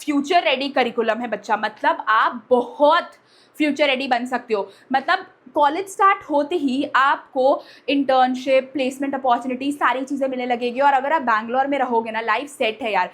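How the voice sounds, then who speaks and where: A woman's voice: sits high, normal speed, a bit bright. A woman speaking directly to students as an online teacher, in a familiar elder-sister way with frequent check-in tags and English mixed in. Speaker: online teacher and channel host. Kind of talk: direct-to-audience vlog talk with a sponsored pitch.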